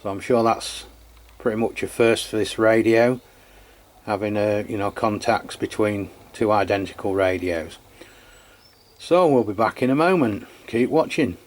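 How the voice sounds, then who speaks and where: Low-voiced man. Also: measured pace. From England. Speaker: radio channel host testing equipment. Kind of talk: casual radio chat, hands-on review.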